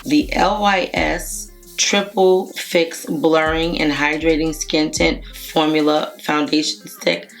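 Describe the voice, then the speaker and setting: A hoarse woman in her thirties, a beauty vlogger chatting casually to the camera from a room at home about her favourite products. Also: low voice, flat delivery, slow, hardly any pauses, faint music behind. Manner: chatty, rambling, warm greetings to viewers. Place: home room with makeup shelves, indoors. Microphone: camera at desk distance, framed head and shoulders.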